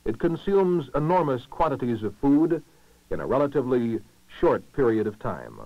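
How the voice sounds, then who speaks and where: A man reading scripted narration for a 1960s educational documentary, formal and measured, with clear enunciation. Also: medium pitch, very animated, no room sound. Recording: muffled lo-fi 1960s film soundtrack.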